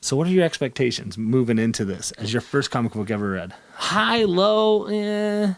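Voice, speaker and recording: A man's voice: medium pitch, very animated, moderate pace, gravelly. A man hosting a video channel, chatting casually and enthusiastically as he interviews his co-host.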